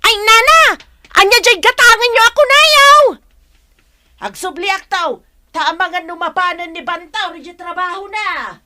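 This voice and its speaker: Very high, bright, excitable village woman. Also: normal speed, dry recording, full character voice. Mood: agitated. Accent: Ilocano. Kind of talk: radio comedy-drama acting.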